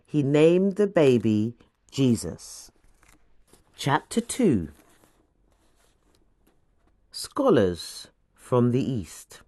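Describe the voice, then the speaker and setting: Slow, low-voiced woman reading scripture expressively. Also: a little mellow, no room sound, studio quality. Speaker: audiobook reader of scripture. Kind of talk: scripted narration, Bible reading.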